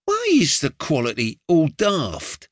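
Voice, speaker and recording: A man's voice: a little high, huge pitch swings, takes it slowly, no room sound, clean studio sound, voice pushed up, full character voice. A man voicing a chatty, slangy, streetwise young boy. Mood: eager.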